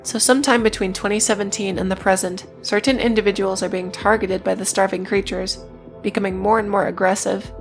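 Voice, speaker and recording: A woman's voice: medium pitch, fairly even intonation, fairly quick, gravelly. A woman narrating a scripted video essay in a matter-of-fact way, with casual, dryly irreverent asides. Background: music.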